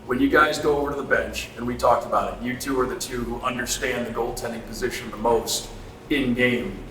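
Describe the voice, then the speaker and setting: A man conducting a sit-down sports interview in a conversational way. His voice is mid-pitched, recorded in an echoey room with faint background noise. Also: steady tone, a little fast, fairly bright tone.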